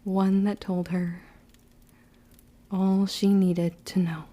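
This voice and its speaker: Woman playing a slow-spoken, mellow young witch. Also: mid-range voice, flat delivery, natural voice. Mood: tense, hushed. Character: young witch Tilla, wounded, vengeful, guilt-ridden narrator. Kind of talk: dramatic audio-drama acting and confessional narration.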